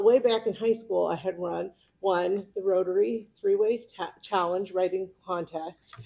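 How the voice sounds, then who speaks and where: A middle-aged American woman speaks informally, as a club member giving a personal talk. Her voice has a noticeable creak at an ordinary pitch, and her manner is chatty. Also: dark tone. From California, United States. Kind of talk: informal autobiographical speech.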